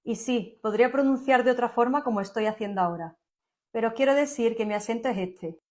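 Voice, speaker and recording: Soft-spoken Sevillian woman with an Andalusian accent. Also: fairly high pitch, brisk. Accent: Andalusian Spanish, Seville. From Seville, Spain. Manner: soft, enthusiastic product run-through. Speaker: makeup content creator. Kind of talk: casual makeup tutorial to camera. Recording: music playing behind the voice.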